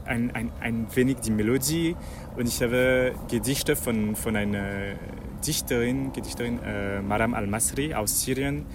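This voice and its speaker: Hesitant man, noisy street recording. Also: mid-range voice. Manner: hesitant, many fillers and restarts. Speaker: musician guest. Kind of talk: interview clip, searching for words.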